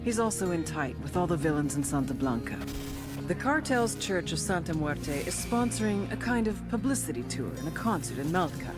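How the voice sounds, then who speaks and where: A wisecracking female agent narrates a game briefing. Her delivery is dry and crude, rising and dipping with lively emphasis. Music plays clearly behind her. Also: fairly low pitch, normal speed. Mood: wry, brash. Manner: sardonic, crude, dry humour. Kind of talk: scripted sardonic narration. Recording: voice over music.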